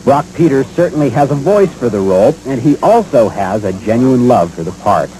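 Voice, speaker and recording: Guy narrating a news feature, measured and polished, soft mellow tone. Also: medium pitch, animated, a little hiss or hum, rough recording. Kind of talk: scripted narration.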